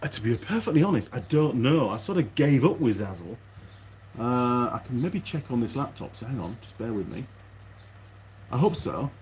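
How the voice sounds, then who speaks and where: A man's voice: medium pitch, big pitch swings, normal speed, a little mellow, a little hiss or hum. A man chatting casually and unscripted to his viewers as a mechanic vlogger, rambling along and thinking aloud in his workshop.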